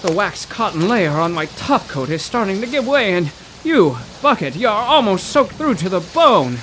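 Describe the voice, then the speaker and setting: An actor playing a polite, earnest, bewildered 1930s poetry professor, halting and formal, in an audio drama. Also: male voice, high voice, very animated, background noise. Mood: anxious, weary. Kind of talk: audio drama acting in 1930s period setting.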